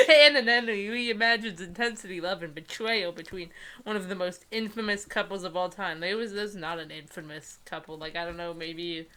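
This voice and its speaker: A woman's voice: lowish voice, normal speed, pushed and bright. A young woman co-hosting a casual, mocking read-aloud, sarcastic and giggly, tripping over words as she goes. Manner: sarcastic, giggly, often stumbles while reading. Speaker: co-host.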